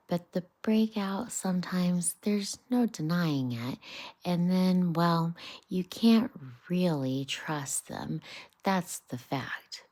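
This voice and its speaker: A woman's voice: on the low side, darkish tone, dry recording. A woman voicing a chatty, worldly male water rat, cautious and dismissive, in a children's bedtime storybook reading.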